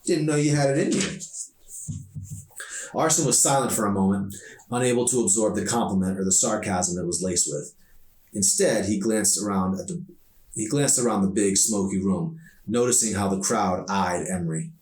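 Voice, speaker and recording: Low-voiced man narrating a novel, echoey room. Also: normal speed, darkish tone. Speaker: author reading own novel. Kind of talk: expressive audiobook narration. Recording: echoey room, distant mic.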